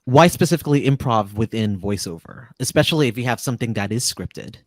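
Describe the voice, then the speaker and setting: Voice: mid-range voice, lively intonation, fast talker, darkish tone, dry recording. Conversational panel host, dry close sound. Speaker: host of a voice actors roundtable. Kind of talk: interviewing, panel discussion.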